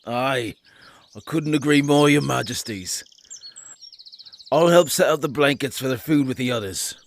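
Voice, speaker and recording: A man's voice: medium pitch, a little slow, slight background noise, natural voice. A man playing a loyal, hearty, good-humoured castle captain in a fan audio drama, with courtly old-fashioned speech. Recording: music clearly under the voice.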